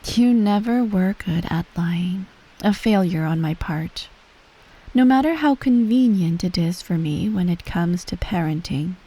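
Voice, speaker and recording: A woman playing a menacing, coolly doting empress mother in soft, breathy ASMR roleplay, measured and formal, often near a whisper. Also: lowish voice, lively intonation, poor audio. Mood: quietly menacing. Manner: measured, formal, veiled threats, breathy sighs.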